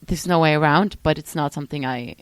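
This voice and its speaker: A young woman from Switzerland talking as a musician guest in a casual interview, animated, often trailing off and restarting her sentences. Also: low-pitched, quick pace. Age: young adult.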